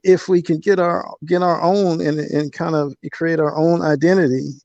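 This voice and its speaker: A middle-aged man talking casually and reflectively as an interview guest, with halting phrasing, on lo-fi call audio. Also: on the high side, steady tone, vocal fry, darkish tone, no room sound.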